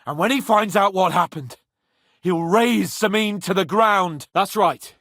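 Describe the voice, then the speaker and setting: An actor playing a proud, hot-headed young nobleman in a video game, with a theatrical, sharp-tongued manner. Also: sits high, unhurried, no room sound, studio quality, heavily acted. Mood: conversational. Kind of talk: dramatic video game voice acting.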